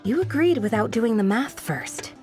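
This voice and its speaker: An actress playing a dutiful, composed female village guardian in a video game dub, speaking in a measured, proper and earnest way. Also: on the high side, lively intonation, a little mellow, faint music behind, natural voice.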